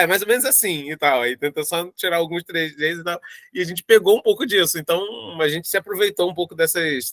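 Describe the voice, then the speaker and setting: A man's voice: on the high side, pushed and bright, dry recording, clean studio sound. A Brazilian man talking casually in an interview, rambling and hesitant, often stammering over repeated starts. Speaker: audio drama creator being interviewed.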